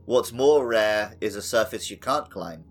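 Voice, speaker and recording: A man's voice: lowish voice, expressive, unhurried, soft background music. A man chatting casually as a podcast host.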